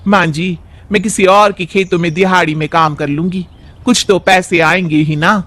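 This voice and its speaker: A man's voice: high-pitched, steady tone, normal speed, a bit bright. A man voicing a determined young village daughter-in-law, earnest, respectful and dutiful.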